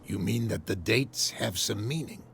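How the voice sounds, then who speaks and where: An assured sea captain in a video game. His voice is low, raspy and full of gravel, and he phrases things in a stately, courteous way. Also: unhurried, fairly bright tone, a little hiss or hum. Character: proud, unhurried male harbor captain, assured and courteous. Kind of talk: video game cutscene dialogue.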